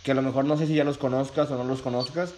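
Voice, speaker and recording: A man's voice: medium pitch, steady tone, fast talker, a little mellow. Young Mexican man, noticeable background noise. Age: young adult. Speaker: prank caller, video host. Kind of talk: casual prank phone conversation, improvised. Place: small bedroom, indoors.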